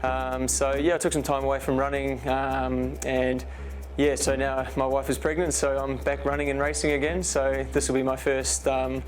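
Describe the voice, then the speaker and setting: Male runner, even flat tone, relaxed and halting. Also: mid-range voice, faint music behind. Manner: relaxed, many ums and restarts. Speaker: ultra runner interviewed. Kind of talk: interview answers.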